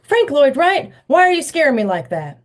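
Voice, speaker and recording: A woman doing a loud, sassy young girl. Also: sits high, big pitch swings, normal speed, voice pushed up. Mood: startled scolding.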